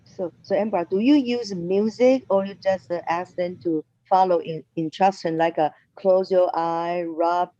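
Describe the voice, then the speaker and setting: A woman's voice: on the low side, fairly even intonation, measured pace, few pauses, mellow, no echo, lo-fi sound. A woman educator asking a workshop speaker questions over a video call, hesitant with many fillers.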